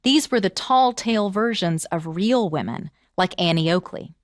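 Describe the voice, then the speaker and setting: A woman telling folk tales as a presenter, with expressive, theatrical, emphatic phrasing. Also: on the low side, normal speed, no echo, clean studio sound. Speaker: storyteller and presenter. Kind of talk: animated storytelling narration of American folklore.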